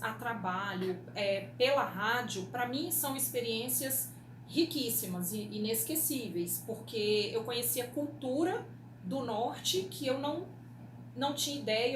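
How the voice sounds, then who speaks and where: Brazilian woman in an echoey, noisy room. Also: a little high. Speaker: radio producer. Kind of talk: interview answers, reflective casual talk. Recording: echoey room, clear background noise.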